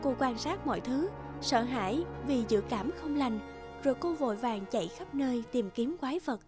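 Female storyteller for animated fairy tales, high voice, clear music bed underneath. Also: normal speed. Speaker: narrator. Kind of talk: scripted fairy-tale narration.

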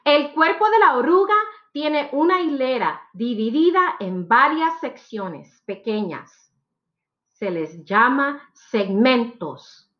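A woman's voice: high voice, muffled recording. A woman in her thirties reads picture books aloud for children, expressively and with careful phrasing, as a teacher would.

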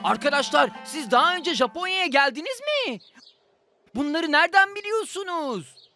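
Upbeat little adventure leader, high and animated, friendly cartoon delivery, music behind. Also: female voice, moderate pace, full character voice. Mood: cheerful, friendly. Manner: upbeat, addresses friends and viewers. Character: cheerful, friendly young cartoon hero leading an adventure. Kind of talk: children's cartoon voice acting. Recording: music under the voice.

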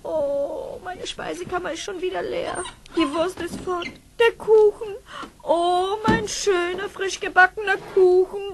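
A woman's voice: very high-pitched, fairly even intonation, a little slow, slight background noise. An actress playing an agitated, wailing townswoman in an old children's fairy-tale audio play.

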